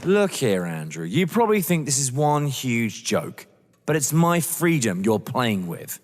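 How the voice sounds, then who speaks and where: An actor playing a down-to-earth young lover drawn into a scheme, with a wary, dry, protesting manner, in a stage-thriller audio drama. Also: male voice, mid-range voice, very animated, a little slow. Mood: alarmed, indignant. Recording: long hall-like reverb.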